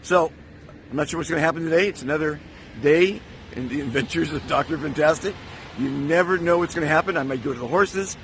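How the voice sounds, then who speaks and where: A man talking casually and enthusiastically to his camera outdoors, his voice going hoarse from overuse. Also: on the high side, a little fast, a bit bright, a little hiss or hum. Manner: upbeat, enthusiastic. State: losing his voice. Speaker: vlogger. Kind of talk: casual vlog chat. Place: outdoors at a resort, windy.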